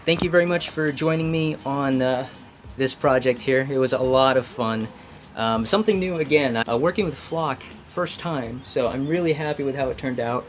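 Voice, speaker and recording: A man's voice: mid-range voice, moderate pace. A man hosting a hobby craft video, chatting informally and explaining things in a self-deprecating way. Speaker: host of a craft and painting video. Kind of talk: casual chat, explaining a hobby project.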